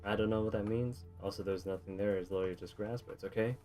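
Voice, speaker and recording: A man's voice: on the low side, fairly even intonation, fast, warm tone. A man giving casual video game commentary, thinking aloud in a rambling way. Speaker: streamer playing a video game. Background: video game music.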